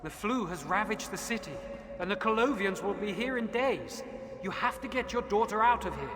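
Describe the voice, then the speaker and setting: A man's voice: sits high, lively intonation, normal speed. A man playing an urgent, pleading medical worker, frightened, in a fantasy game. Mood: urgent alarm. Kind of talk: scripted fantasy game dialogue. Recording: long reverb, clear background noise.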